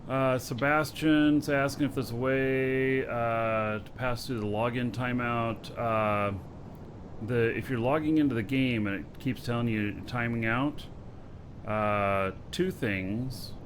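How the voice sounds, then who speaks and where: A male livestream host reads out viewer questions and answers them plainly and calmly. His voice is mid-pitched for a man, with a faint air-conditioner hum under it. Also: takes it slowly. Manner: relaxed, practical, offering suggestions. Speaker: stream host. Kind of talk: casual livestream chat, reading viewer questions.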